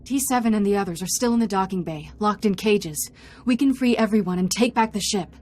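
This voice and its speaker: An actress playing a loyal, practical Jedi companion, direct and urgent, in a science fiction game. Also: female voice, medium pitch, brisk, darkish tone, lightly acted.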